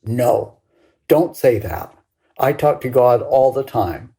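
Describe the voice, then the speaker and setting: A woman's voice: a bass voice, animated, takes it slowly, warm tone, heavily acted. An older woman voicing a grieving young grandson in a story, pleading insistently with his grandmother. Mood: anguished, insistent.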